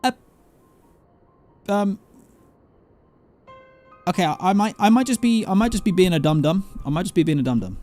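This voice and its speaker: A man giving casual commentary on a game stream, animated, often breaking off and repeating himself. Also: high-pitched, moderate pace, pauses often. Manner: animated, self-interrupting, repeats phrases for effect. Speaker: streamer playing an online role-playing game. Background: game music.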